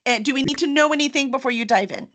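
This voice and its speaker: Bright-voiced woman hosting an interview. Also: high-pitched, rapid-fire. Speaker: interviewer, host. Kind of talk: casual, appreciative book interview.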